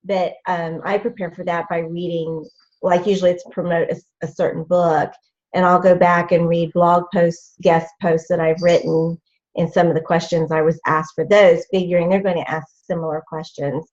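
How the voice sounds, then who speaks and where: A woman author giving practical advice in a casual panel chat, in a measured way. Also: on the low side, level tone, a little mellow, lo-fi sound. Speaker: author guest.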